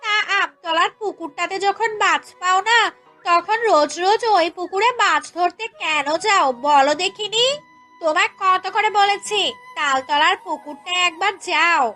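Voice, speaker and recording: A woman as a very high-pitched nagging village wife. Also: measured pace, sharp tone, soft background music, exaggerated voice. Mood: scolding. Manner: shrill scolding and insisting. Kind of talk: cartoon fairy tale character dialogue.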